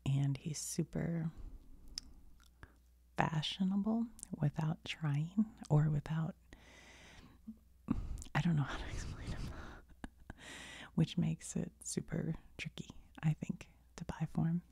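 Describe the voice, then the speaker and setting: Woman, middle-aged, ASMR whisper, heavy gravelly fry, mellow dark tone, meandering chatty asides. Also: low-pitched, fairly even intonation, takes it slowly, pauses often, dry recording. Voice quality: soft unvoiced whisper, rambling asides. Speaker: video creator.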